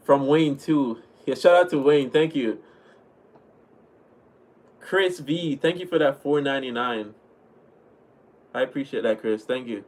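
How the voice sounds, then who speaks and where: A man's voice: medium pitch, measured pace, pauses often. A young man in his twenties from Jamaica with a Jamaican accent, chatting casually on a livestream and answering viewers from a small room at home. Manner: relaxed, chatty, thanks viewers often. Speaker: livestream host reading chat. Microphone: webcam at desk.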